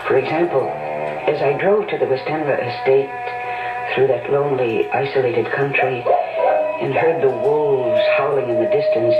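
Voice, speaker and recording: A woman's voice: extremely low pitch, dull audio. An actress playing a young woman caught up in a vampire mystery, earnest and fearful, in an old-time radio drama. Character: young woman visitor, anxious and frightened. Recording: old radio broadcast, distant and roomy.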